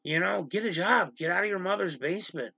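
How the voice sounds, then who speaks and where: A man with a strongly gravelly, fried voice and a bright, forceful edge talks casually to his livestream audience, exasperated and animated. He sits close to a dry mic, and the stream audio sounds a little dull and lo-fi. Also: high-pitched, dry recording. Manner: animated, exasperated, quick asides to the stream chat. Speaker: livestream host.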